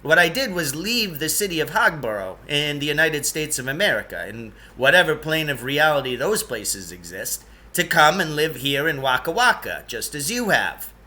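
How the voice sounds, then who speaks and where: A man's voice: a little high, big pitch swings, normal speed, hardly any pauses, pushed and bright, exaggerated voice. A man voicing an eccentric, brusque and impatient grown man in a children's novel, speaking emphatically and dismissively. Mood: matter-of-fact. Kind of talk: children's novel read-aloud.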